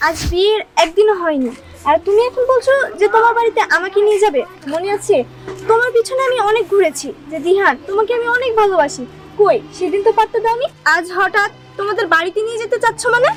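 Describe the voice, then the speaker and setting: A resentful young woman played by a woman speaks quickly and very high. She reproaches the man who once spurned her, in melodramatic style with some music behind. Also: lively intonation, low-quality recording. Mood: hurt reproach. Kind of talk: melodramatic short-film acting.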